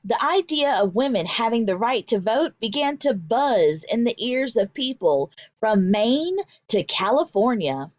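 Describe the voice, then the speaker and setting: A woman with a fairly high voice reads aloud like a primary teacher, taking her time over each phrase. Her delivery is emphatic and deliberate, made for young listeners. The recording is dry and a little muffled. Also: lively intonation, no echo. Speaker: teacher reading a picture book aloud. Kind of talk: expressive children's read-aloud, instructional.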